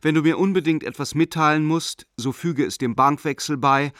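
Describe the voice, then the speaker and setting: An actor playing a zealous, coldly formal German banker in a radio play, reading a letter in a measured, self-righteous, declamatory way. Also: male voice, on the high side, a bit bright, no room sound, clean studio sound, natural voice. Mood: curt, formal.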